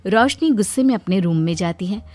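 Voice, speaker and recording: A woman narrating a scripted family story in an expressive storytelling manner. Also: mid-range voice, fairly quick, soft background music.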